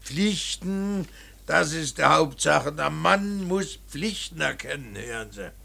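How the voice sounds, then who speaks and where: An earnest, gruff old painter in a radio drama. He speaks slowly in a tense, gravelly voice, repeating himself with moralising emphasis. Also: a little high, expressive, pushed and bright, heavily acted. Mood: emphatic. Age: older. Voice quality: gravelly, rasping. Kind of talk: German radio drama acting.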